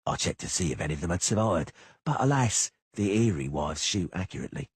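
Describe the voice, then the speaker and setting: Dude doing a creepy low killer, super slow drawl, hissy, husky. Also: male voice, very animated, no echo, studio quality, exaggerated voice. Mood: menacing drawl. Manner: drawling, hissing.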